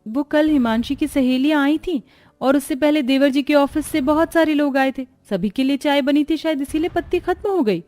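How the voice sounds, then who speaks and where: A woman's voice: sits high, normal speed, a little mellow, faint music behind, close to an everyday voice. A woman voicing a meek, dutiful young daughter-in-law in a cartoon, speaking politely.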